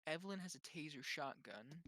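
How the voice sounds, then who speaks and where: A man's voice: on the high side, lively intonation, fairly quick, pushed and bright, dry recording, studio quality. A man chatting casually as a player in a tabletop game.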